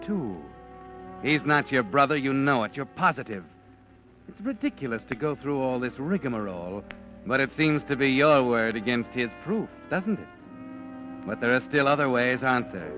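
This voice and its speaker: A man narrating a 1940s radio drama in the second person, slow, brooding and insinuating. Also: mid-range voice. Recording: dull old radio broadcast with music.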